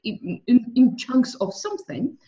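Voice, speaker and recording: A woman's voice: fairly high pitch, wildly swooping pitch, measured pace, mellow, highs cut off. Woman musing on soul. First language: Russian. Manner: thoughtful, restarts often, speaks of soul and language. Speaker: guest. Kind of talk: spiritual interview conversation.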